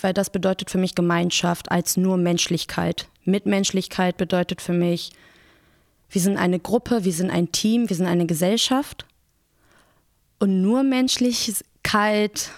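Woman, 30, Persian first language, from Germany. Reflective, explanatory guest, gravelly low register, dry close sound. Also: darkish tone, no room sound. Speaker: interview guest, social worker. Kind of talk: reflective personal account.

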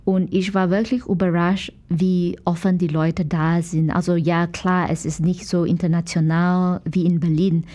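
A woman's voice: on the low side, level tone, measured pace, few pauses, dark tone. A woman from Malaysia with a Malaysian accent answers conversationally as an interview guest, searching for words in a language she learned later, her native ones being English, Mandarin and Malay. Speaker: guest, dancer and dance teacher.

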